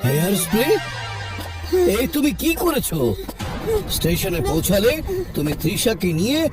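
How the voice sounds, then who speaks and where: A man's voice: high voice, very animated, moderate pace. A man voicing a scheming, menacing villain who is easily rattled, in a dubbed cartoon. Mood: scheming, urgent. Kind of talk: exaggerated cartoon dub acting. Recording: loud music and vehicle sounds behind.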